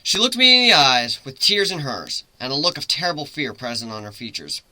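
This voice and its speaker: A man's voice: medium pitch, sing-song, brisk, bright, forceful tone, dry recording, poor audio. A man reading a story aloud as its narrator, in a dramatic reading style.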